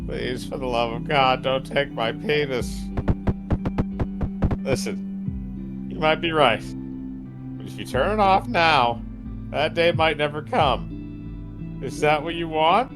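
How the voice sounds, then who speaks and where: A woman's voice: low voice, lively intonation, slow pace, pauses often, over music. A woman voicing a desperate, sarcastic male captive in a horror game, with a pleading, melodramatic manner.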